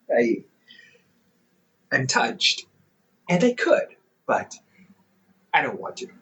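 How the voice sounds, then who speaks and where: Audio roleplay: male actor as a young adult older brother and company therapist, teasing, quick to laugh, very lively pitch. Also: full character voice, poor audio.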